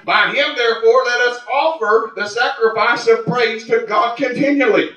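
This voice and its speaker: Preacher shouting high in a roomy church. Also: male voice, moderate pace. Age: 40s. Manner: shouting exclamations, rising into high cries. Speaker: pastor. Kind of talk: Pentecostal-style sermon. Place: church sanctuary. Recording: roomy, distant, dull church recording.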